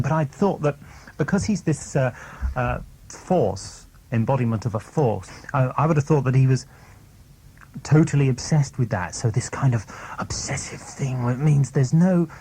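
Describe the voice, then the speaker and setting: A man's voice: medium pitch, animated, moderate pace, warm tone, low-quality recording. Rambling man with faint background noise. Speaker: voice actor being interviewed. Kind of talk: casual interview chat.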